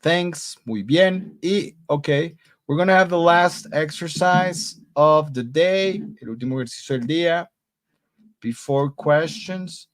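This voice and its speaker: A man's voice: a little high, measured pace. A man teaching an English grammar class live online, upbeat and encouraging, switching between Spanish, English and Portuguese. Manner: repeats praise like muy bien. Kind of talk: live online class reading student answers.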